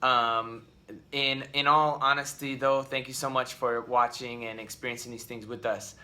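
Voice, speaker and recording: A man in his thirties talks straight to camera as the host of a family vlog. His voice is bright and forward at a middling pitch, and he rambles loosely, with frequent ums and restarts. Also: level tone, normal speed. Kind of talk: casual talk to camera. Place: at home.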